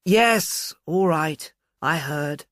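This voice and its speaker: A self-possessed woman in her twenties, as a male narrator plays her, pitched a little high. She drawls pleasantly with a slight lisp and sounds civil and mildly sardonic, a character in an audiobook mystery. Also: very slow, fairly bright tone, dry recording, studio quality. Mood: brisk, welcoming.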